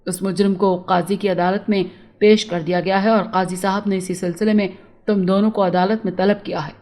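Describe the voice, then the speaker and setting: A woman's voice: mid-range voice, little pitch movement, a little fast, a little mellow, voice pushed down, close to an everyday voice. A woman voicing a fatherly male police chief in a storybook tale, speaking in an official, formal manner. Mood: proud, official.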